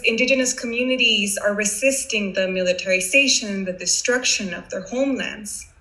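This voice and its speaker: A woman's voice: mid-range voice, sharp tone, low-quality recording. A woman in her thirties from Honduras, earnestly opening an online panel over a video call, formal with small restarts. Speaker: webinar host.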